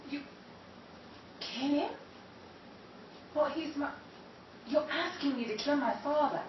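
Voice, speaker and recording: A woman playing an anguished, reluctant middle-aged daughter in an amateur radio play, pleading emphatically and haltingly. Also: high voice. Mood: reluctant, shocked. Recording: echoey, noisy, dull, distant microphone.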